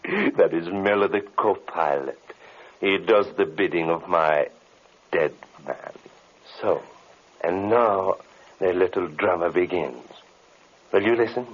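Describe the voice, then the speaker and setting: Muffled old radio, a low menacing villain magician, slow and gloating, bossy as hell. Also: male voice. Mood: smug. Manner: menacing, deliberate, commanding. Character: gloating, merciless male villain magician commanding the dead. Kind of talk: 1930s radio horror acting. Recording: muffled vintage radio recording.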